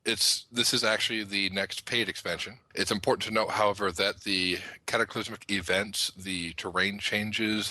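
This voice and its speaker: A man's voice: deep voice, bright, forceful tone, no echo, low-quality recording. A man on a podcast panel explaining news in an informative discussion style.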